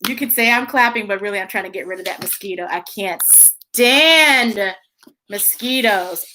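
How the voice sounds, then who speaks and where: A woman's voice: on the high side, sharp tone, a little hiss or hum, rough recording. An American woman chatting casually and playfully to young viewers as a children's story time host, stretching words for emphasis.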